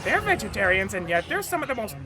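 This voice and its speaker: A woman voicing a bumbling male cartoon villain, a frantic mad scientist with a sharp, strained voice who rambles and exclaims theatrically. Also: medium pitch, very animated, fast, over music, lightly acted. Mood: musing, wary. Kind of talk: cartoon fan tribute monologue.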